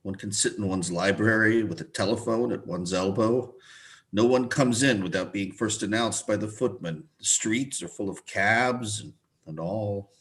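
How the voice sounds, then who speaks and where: A man's voice: fairly low pitch, moderate pace, fairly bright tone, lightly acted. A man playing a wistful, grumbling retired civil servant of sixty-five in a play reading, plaintive and rambling. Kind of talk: cold play reading over video call.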